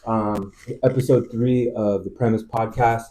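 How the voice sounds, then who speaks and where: A man hosting a podcast interview in a casual, halting way, with lots of fillers and surprised interjections. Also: lowish voice, steady tone, warm tone, slight background noise. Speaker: podcast host, real estate agent.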